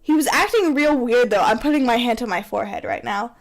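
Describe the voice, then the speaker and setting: Woman, high pitch, giggly, theatrical, bossy, playful reading and banter, poor amateur microphone. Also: brisk. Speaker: narrator and director of a fan fiction reading. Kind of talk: playful read-aloud mixed with casual banter.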